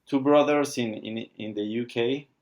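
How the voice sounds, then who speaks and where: A man, speaking haltingly as he searches for words while being interviewed about founding his watch brand. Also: medium pitch, a little mellow. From Argentina. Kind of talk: casual interview.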